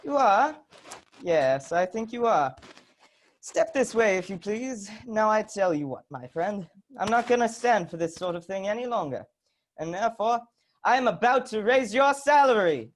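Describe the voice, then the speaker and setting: A woman's voice: mid-range voice, lively intonation, moderate pace, slight background noise, natural voice. A young woman playing a repentant old miser in a dramatic, emphatic reader's theatre performance. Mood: mock stern.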